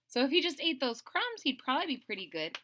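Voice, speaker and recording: A woman reading a storybook aloud to children, expressive and conversational, speaking directly to young listeners. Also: sits high, quick pace, slight background noise. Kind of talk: animated children's read-aloud with casual asides.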